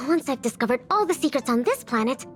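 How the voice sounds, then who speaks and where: High sweet wide-eyed girl from a game, earnest and dreamy, soft music behind. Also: big pitch swings, a little fast. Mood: eager.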